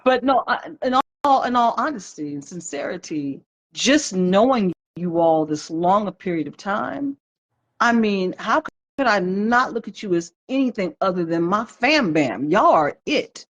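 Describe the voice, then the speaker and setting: A woman's voice: mid-range voice, lively intonation, unhurried. A woman in her fifties hosting a live stream chat, heartfelt and reflective, greeting viewers by name as she talks. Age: 50s. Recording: dull lo-fi livestream audio.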